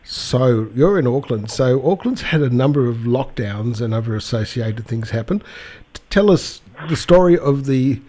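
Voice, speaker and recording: A man's voice: medium pitch, expressive, normal speed, warm tone. A man hosting a weekly radio show and interviewing a guest in a friendly, easygoing way. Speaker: radio host.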